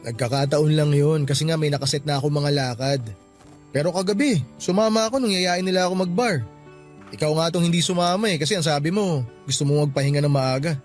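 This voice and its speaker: A man's voice: fairly high pitch, brisk, soft background music, natural voice. A man with a Filipino accent playing a considerate bank employee in his late twenties in a radio drama. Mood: friendly, attentive. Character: friendly, considerate 29-year-old male bank employee.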